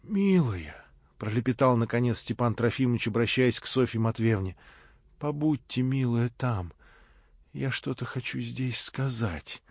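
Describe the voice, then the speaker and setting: A man doing a feeble, frightened old gentleman. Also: mid-range voice, animated, a little fast, no room sound, close to an everyday voice. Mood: feeble pleading. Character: sick, frightened elderly gentleman, weak and tearful. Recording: dull dry studio sound.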